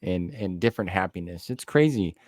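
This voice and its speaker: A man with a low voice and an American accent chats as an interview host. His manner is effusive and folksy, full of enthusiasm. The recording is dry, with hardly any room sound. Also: expressive, a little fast, darkish tone, no echo. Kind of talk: casual interview chat.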